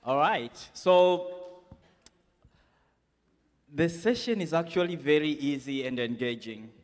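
A man moderating a conference session, upbeat and full of fillers as he coaxes the crowd, on a handheld mic in a large hall. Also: a little high. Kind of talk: casual event moderating.

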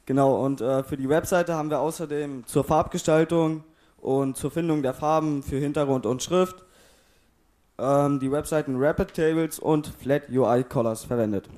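A man presenting where his team got its data and images, steady with small fillers. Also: a little high, moderate pace. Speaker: student presenter. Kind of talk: project presentation.

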